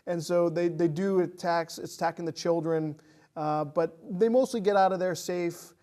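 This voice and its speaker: A man with a fairly high-pitched voice gives a casual film review in conversation. He speaks in a hesitant, halting way, feeling out his phrasing as he goes. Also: fairly even intonation, dark tone.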